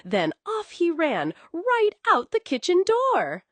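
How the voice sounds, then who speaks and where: A woman telling a scripted bedtime story, expressive and coddling, as if speaking to a young child. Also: very high voice, slow, no room sound.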